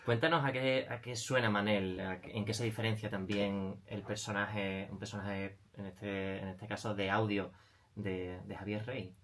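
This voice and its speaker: Lower-voiced man co-hosting radio. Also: little pitch movement, normal speed. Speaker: radio co-host. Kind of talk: conversational radio interviewing.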